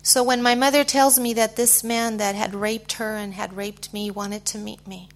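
A middle-aged woman with an American accent giving a personal testimony in short phrases, pausing for an interpreter. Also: on the high side, level tone. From United States. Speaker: guest speaker giving testimony.

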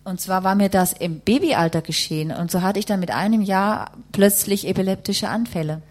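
A woman's voice: medium pitch, moderate pace, darkish tone. A woman working as a live interpreter, rendering a testimony phrase by phrase and rewording as she goes.

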